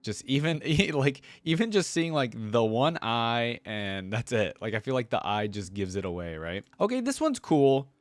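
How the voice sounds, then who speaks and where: A man's voice: medium pitch, sing-song, normal speed, hardly any pauses, no room sound. A man giving casual reaction commentary in an enthusiastic, conversational way, as if thinking aloud. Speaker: reaction video creator. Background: background music.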